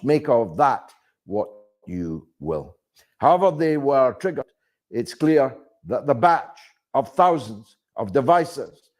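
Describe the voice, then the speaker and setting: A man delivering political commentary as a monologue, phrasing deliberately and emphatically, with rhetorical questions and repetition. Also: mid-range voice, darkish tone. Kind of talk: spoken political commentary to an audience.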